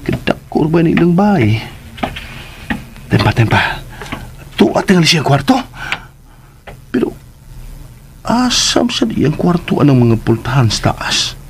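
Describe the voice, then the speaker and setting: A high voice with faint noise behind it: a humble, cheeky young man from the provinces in a radio drama. He chatters and jokes deferentially in a Cebuano accent. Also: sing-song, measured pace, long pauses. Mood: puzzled, muttering. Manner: talkative, deferential, joking. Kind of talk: Filipino radio drama acting.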